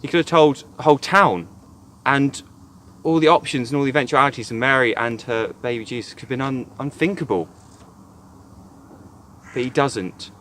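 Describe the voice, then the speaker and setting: A man's voice: medium pitch, normal speed, pauses often, a bit bright, a little hiss or hum. A man, a church youth worker, gives an earnest, reflective devotional talk retelling a Bible story.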